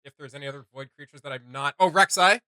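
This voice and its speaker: A man hosting a casual reaction chat, talking in an animated way with quick quips. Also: fairly high pitch, clear, resonant tone, no room sound. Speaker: host.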